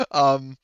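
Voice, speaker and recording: A man improvising as game master of a tabletop roleplaying game, halting as he searches for words and swearing casually. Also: medium pitch, clear, resonant tone, no room sound, highs cut off. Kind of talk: improvised narration of a tabletop roleplaying game, relaying characters' replies.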